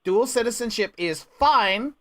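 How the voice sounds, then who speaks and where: Animated man with swooping pitch. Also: measured pace, no echo. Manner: interjects, repeats phrases. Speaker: prank call host. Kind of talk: casual, playful conversation.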